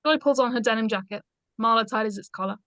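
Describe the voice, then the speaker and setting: A woman's voice: on the high side, quick pace, fairly bright tone, no room sound. A woman reading stage directions aloud as scripted narration in a screenplay read-through.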